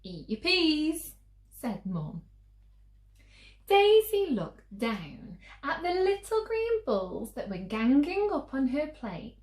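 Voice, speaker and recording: A woman reads a children's picture book aloud in a high, very animated voice. She tells it at an unhurried pace and draws listeners in to take part. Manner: inviting listeners to join in. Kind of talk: expressive children's story reading. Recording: roomy, echoing sound.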